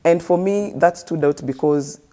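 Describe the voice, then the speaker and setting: A woman's voice: low-pitched, unhurried, warm tone. A Kenyan woman, a guest in an interview, telling her life story earnestly and switching between English and street slang.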